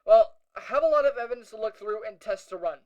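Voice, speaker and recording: A special ops squad leader, voiced by a performer in a mid-pitched, androgynous voice, narrates an audio log. The delivery is measured and matter-of-fact, like a field investigator setting down an incident report in a scripted audio drama. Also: animated, a little mellow, natural voice, poor audio. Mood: puzzled, resolute.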